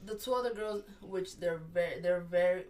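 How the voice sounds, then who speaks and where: Low-voiced young woman, distant mic. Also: normal speed, a little mellow. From Miami. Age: young adult. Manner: chatty, finishes her partner's sentences. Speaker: business co-founder, guest. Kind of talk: casual interview. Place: university library. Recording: distant mic with faint room noise.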